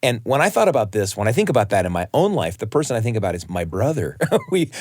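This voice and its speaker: A man's voice: mid-range voice, darkish tone, no echo, clean studio sound. An American man co-hosting a podcast in a conversational presenting style. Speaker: podcast co-host. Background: faint music.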